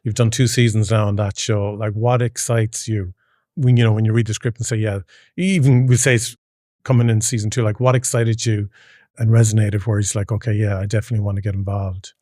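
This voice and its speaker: A man's voice: fairly low pitch, little pitch movement, fairly quick, warm tone, dry recording, studio quality. A man speaking as a podcast host, asking questions in a conversational interview.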